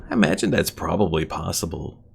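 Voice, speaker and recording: A man's voice: deep voice, fairly quick, creaky, a little hiss or hum. A man streaming a video game, giving casual, laid-back, chatty commentary as he plays. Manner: laid-back, chatty, reading chat aloud. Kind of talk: casual live gameplay commentary. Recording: game music faintly behind. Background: video game music.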